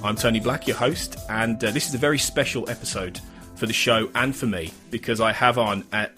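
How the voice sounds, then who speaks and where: A man's voice: on the low side, bright, forceful tone. A man hosting a podcast interview, eager and a little stammering as he welcomes his guest. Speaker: podcast host.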